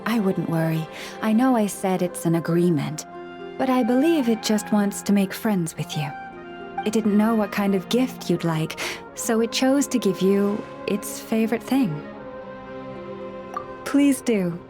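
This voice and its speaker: Gentle, caring woman of the forest, acted, music behind. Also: medium pitch, lively intonation, lightly acted. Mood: kindly. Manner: gentle, soothing, measured. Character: gentle, caring woman who befriends and looks after forest animals. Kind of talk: video game character dialogue.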